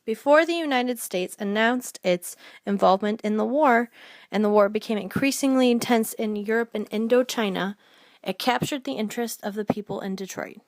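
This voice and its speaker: A woman's voice: fairly high pitch, normal speed, dry recording. A woman narrating a scripted documentary, reading aloud and now and then stumbling over a word.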